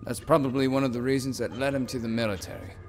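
An actor playing a stern, mysterious judge in a video game, delivering solemn, pointed questions about crime and justice. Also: male voice, mid-range voice, fast talker, darkish tone, natural voice. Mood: grave, accusing. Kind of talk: dramatic video game monologue.

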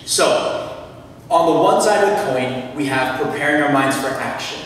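A young man preaching a sermon in a church in an earnest, animated way, heard from across the room. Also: medium pitch, moderate pace, slight background noise. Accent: American. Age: young adult. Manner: earnest, animated, gestures broadly while preaching. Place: church sanctuary. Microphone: lectern mic, camera at back of room. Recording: echoey church from far off.

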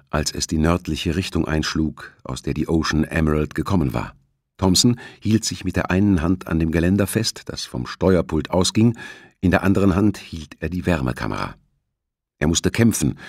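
A man with a low-pitched voice reads a scripted thriller audiobook. His delivery is measured and dramatic, in a dry, close sound. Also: breathy edge, no echo. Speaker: audiobook narrator.

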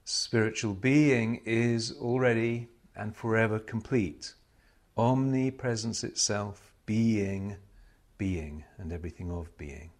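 A man speaks as a spiritual meditation teacher in a fairly low voice. His delivery is very slow and solemn. He sets out short, measured phrases, leaving pauses between them.

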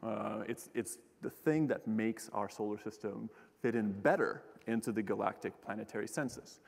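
A man's voice: fairly low pitch, moderate pace, vocal fry. A young man giving a casual public science talk from a lecture hall stage, joking and restarting his sentences with many fillers. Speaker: keynote speaker, astronomer. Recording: long hall reverb.

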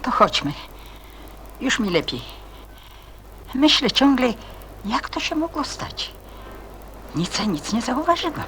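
A woman's voice: high-pitched, very animated, takes it slowly, long pauses, a bit bright, exaggerated voice. An actress playing a worried, polite older lady in a Polish radio play, self-reproaching and a little hesitant. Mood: fretful, self-reproaching. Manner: fretful, courteous, hesitant. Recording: faintly hissy radio play with ambience.

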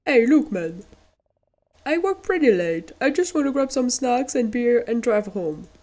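A woman's voice: a little high, expressive, unhurried, darkish tone. Woman doing a rude businessman with a French accent. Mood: curt. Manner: curt, dismissive. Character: gruff rude businessman in a suit.

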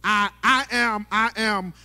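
A man's voice: high-pitched, takes it slowly, sharp tone, clear, resonant tone. A middle-aged male pastor preaching from a church stage into a handheld mic, with rhythmic sing-song phrasing and stammering restarts. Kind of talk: sermon. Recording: long church hall reverb.

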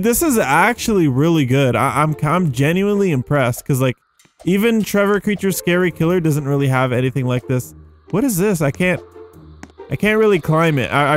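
A young adult man giving casual, rambling gameplay commentary, easily amazed and thinking aloud as he hosts. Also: a little high. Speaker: gaming video host.

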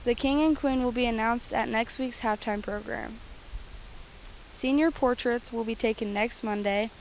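A woman's voice: a little high, moderate pace, a little mellow, slight background noise, low-quality recording. A teenage girl reading school news announcements outdoors on a football field, speaking into a handheld mic. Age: teens. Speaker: student news anchor.

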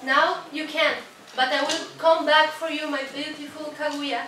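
A woman's voice: sits high, level tone, normal speed, lightly acted. A young woman playing a devoted, lovestruck emperor in a scripted puppet show. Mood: adoring. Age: young adult. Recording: echoey noisy room from far.

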